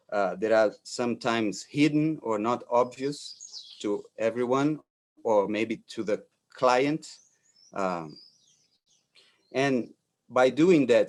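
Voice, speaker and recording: A Brazilian architect in his forties giving a talk in English as a second language, halting with frequent fillers and restarts. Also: medium pitch. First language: Portuguese. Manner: hesitant, many fillers and restarts. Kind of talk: lecture presentation.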